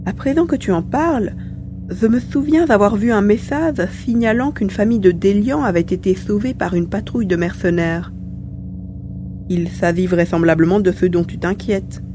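A woman's voice: medium pitch, moderate pace, lightly acted. A woman playing a small, cheerful male mouse-beaver mutant who chatters wordily in an audio serial. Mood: helpful, curious. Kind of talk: acted dialogue in an amateur audio serial. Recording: faint background hum and noise.

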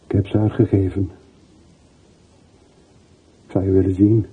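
An actor playing a weary, regretful middle-aged former soldier over a telephone in a radio play, subdued, in halting short phrases. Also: male voice, deep voice, level tone, husky, full character voice. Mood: regretful, longing. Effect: telephone voice filter. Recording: muffled phone-like old radio recording.

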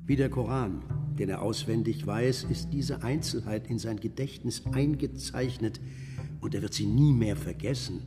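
Man playing old master painter, solemn mentor, medium-pitched voice, measured and contemplative, radio play, long reverb, music behind. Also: natural voice. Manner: measured, contemplative, storytelling delivery. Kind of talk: literary radio drama monologue.

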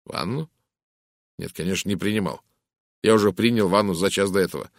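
A man's voice: low-pitched, brisk, no echo, clean studio sound. A man voicing an irritable, curt army captain in an audiobook, brusque and impatient. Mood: curt, baffled. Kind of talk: audiobook character voice.

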